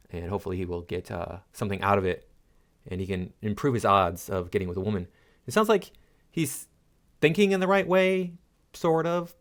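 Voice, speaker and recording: A man giving casual, opinionated reaction commentary to viewers in a blunt, direct way. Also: on the low side. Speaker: commentary YouTuber.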